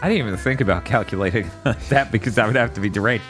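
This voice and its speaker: A man's voice: lowish voice, racing, music in the background. A man from the United States hosting a game stream, chatting casually and joking, with frequent laughs and swearing. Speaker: streamer host. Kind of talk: casual chat over gameplay.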